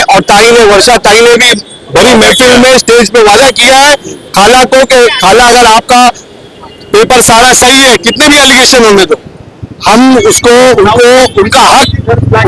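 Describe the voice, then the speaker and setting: A man's voice: extremely high pitch, rough recording. A man arguing his side in a street interview, insistent and argumentative. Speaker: local party worker. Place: busy street outdoors. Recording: loud crowd outdoors.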